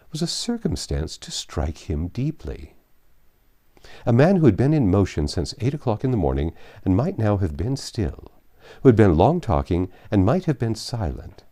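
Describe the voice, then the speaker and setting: Low, mellow male audiobook narrator. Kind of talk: expressive scripted literary narration.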